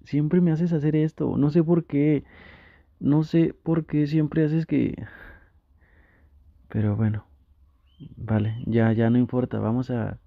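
A flustered young gamer boyfriend with a Mexican accent, played by a man for an intimate audio roleplay. He speaks slowly and haltingly in a gravelly, coaxing voice. The recording is dry and slightly dull. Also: fairly high pitch, steady tone, no room sound. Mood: resigned, admiring. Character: flustered, easily tempted gamer boyfriend, playfully pleading. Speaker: ASMR roleplay creator.

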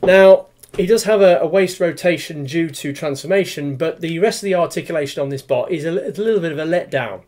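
Chatty toy reviewer in his forties, a touch high-pitched, meandering and full of fillers, recorded on a webcam at home. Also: a little fast, darkish tone. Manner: rambling, many fillers and restarts. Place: small room with display cabinet, indoors.

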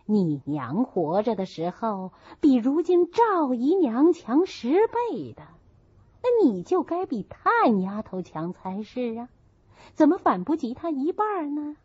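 A woman's voice: high-pitched, sing-song, a little slow, a little mellow, husky, no echo, heavily acted. A woman voicing a stern, haughty stepmother in an audiobook, reproachful and sarcastic. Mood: sneering, reproachful. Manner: reproachful, sneering. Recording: muffled, dull audio.

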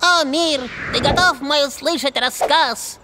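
High-pitched little poetry-reciting toy. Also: female voice, measured pace, background noise. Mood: rapt reciting. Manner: declamatory recitation. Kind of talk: children's cartoon voice acting. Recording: music behind the voice.

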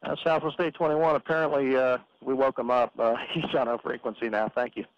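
A man working as an air traffic controller, giving brisk, procedural instructions in radio phraseology with filler pauses. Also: mid-range voice, level tone, clean tone, dry recording. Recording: muffled radio transmission with hiss.

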